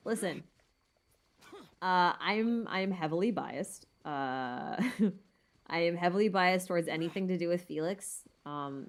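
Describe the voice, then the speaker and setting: A woman hosting a casual livestream Q&A, talking informally and hesitantly as she thinks aloud. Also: on the low side. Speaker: livestream host answering viewer questions. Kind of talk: casual livestream Q&A chat about game lore.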